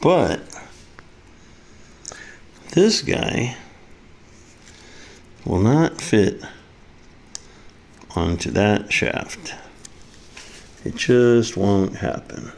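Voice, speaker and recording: A man's voice: fairly low pitch, very animated, drawn-out words, pauses often, darkish tone. A man casually demonstrating a hands-on repair, muttering to himself as he works. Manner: muttering to himself while working. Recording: faint background noise.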